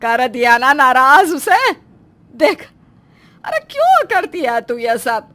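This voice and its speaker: Woman voicing an exasperated, slow-paced mother-in-law. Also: high-pitched, big pitch swings, pushed and bright, full character voice. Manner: scolding, long-suffering, dramatic. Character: exasperated, proud middle-aged mother-in-law. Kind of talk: Hindi moral story cartoon dialogue.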